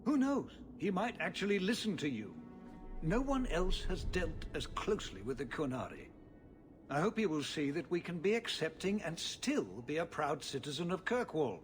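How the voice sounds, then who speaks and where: A worried noble dad, formal and pleading, pitch moves around a lot. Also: male voice, big pitch swings, moderate pace, faint music behind. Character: worried middle-aged male city ruler and father.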